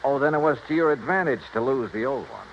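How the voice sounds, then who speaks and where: A man's voice: mid-range voice, animated, fast, close to an everyday voice. An American man playing a cool, needling insurance investigator in an old-time radio detective drama, with a wry, clipped delivery. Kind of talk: old-time radio detective acting with first-person narration. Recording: dull noisy old radio recording with music.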